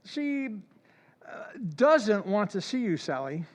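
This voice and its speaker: Radio play: man voicing a careful, hesitant doctor, lively pitch. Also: slow pace, heavily acted. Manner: hesitant, gentle, with small fillers. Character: calm, hesitant family doctor, adult man. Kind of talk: old-time radio drama recreation.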